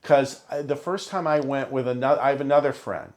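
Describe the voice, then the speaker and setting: A man's voice: mid-range voice, a little fast, darkish tone. A man in a casual conversation, telling stories as an interview guest and acting out the remarks he quotes. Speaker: interview guest, long-time idol fan. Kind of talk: casual chat, storytelling.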